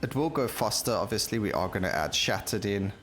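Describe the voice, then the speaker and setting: A man with a low-pitched voice gives casual gameplay commentary over music. He thinks aloud, talking through each choice as he plays. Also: lively intonation, brisk, a bit bright. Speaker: gaming YouTuber. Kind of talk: casual video game commentary.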